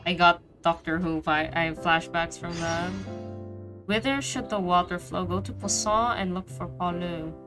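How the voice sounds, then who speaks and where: A woman's voice: on the low side, steady tone, moderate pace, music in the background. A woman streaming a game, chatting and reacting casually in slangy, colloquial speech. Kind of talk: casual reactions and chat during play.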